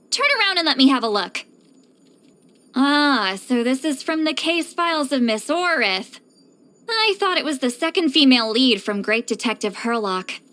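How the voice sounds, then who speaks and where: A woman's voice: high voice, bright, forceful tone, exaggerated voice. A woman voicing a reclusive, grumbling shaman with a youthful voice in a video game, hesitant, self-conscious and dryly exasperated. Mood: dry, aloof. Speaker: video game character voice actress. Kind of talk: scripted game character monologue.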